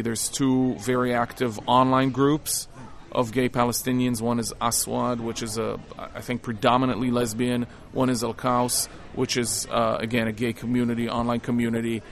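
A man from Israel speaking as a film director in an interview, giving conversational answers. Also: medium pitch.

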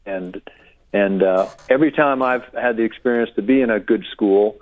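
Man, fifties, guest author on a phone call, low voice, hesitant with lots of restarts, thin phone-line sound. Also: moderate pace, dry recording. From Connecticut. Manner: hesitant, many restarts and fillers. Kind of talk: radio interview.

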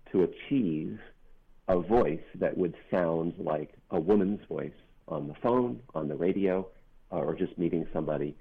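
A middle-aged non-binary author speaks with a very low, gravelly bass voice that has a husky edge. The talk is thoughtful and earnest, with pauses and restarts along the way. It comes through a narrow, phone-like line. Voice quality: deep bass voice. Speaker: author guest. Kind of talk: reflective interview talk.

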